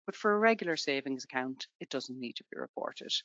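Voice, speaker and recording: A woman's voice: rumbling low, lively intonation, brisk, a bit bright, dry recording, dull audio. A woman from Ireland with an Irish accent, presenting a tax webinar to students in a conversational way with everyday examples.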